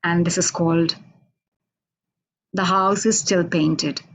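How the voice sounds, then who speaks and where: Slow Indian-accented woman reading poetry. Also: lowish voice, steady tone, low-quality recording. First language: Hindi. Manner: unhurried, halting, with many fillers. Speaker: poet. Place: radio station office.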